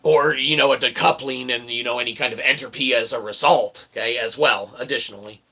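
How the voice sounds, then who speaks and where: Sharp, gravelly man rambling philosophically. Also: medium pitch, steady tone, fairly quick. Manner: rambling, wordy pseudo-formal phrasing with frequent "okay" and "all right" check-ins. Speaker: independent online philosophy presenter. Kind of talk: improvised philosophical monologue with casual asides.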